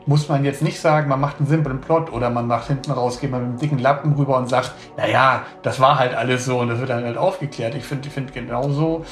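Enthusiastic author in an echoey room. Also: male voice, mid-range voice, steady tone, quick pace, few pauses, faint music behind. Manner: enthusiastic, rambling, many fillers. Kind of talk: casual interview talk.

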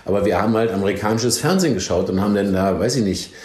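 A man's voice: lowish voice, racing, a little mellow. A man who works as a training captain, chatting casually on a podcast and giving advice with teasing, jokey asides. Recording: roomy echo.

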